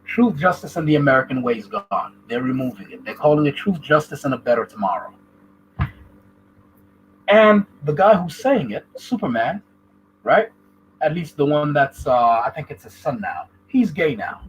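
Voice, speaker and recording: A man from Haiti hosting a streamed talk show. He argues his opinions emphatically, and his pitch swings widely and sits a bit high. Faint noise hums under the call. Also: very animated, normal speed, poor audio. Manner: emphatic, rhetorical, stops to ask "right?". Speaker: channel host. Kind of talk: opinionated commentary on a livestream.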